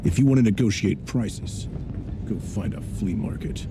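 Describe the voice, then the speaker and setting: A man voicing a gruff, blunt, dismissive bounty hunter in a video game. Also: fairly low pitch, expressive, moderate pace, background noise. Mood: curt. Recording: music playing over the voice.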